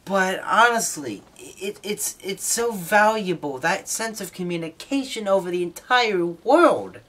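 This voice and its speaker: A man's voice: high-pitched, animated, a little slow, fairly bright tone. Casual man talking off the cuff. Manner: informal, opinionated, mildly swearing. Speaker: shortwave radio hobbyist host. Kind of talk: casual, unscripted monologue.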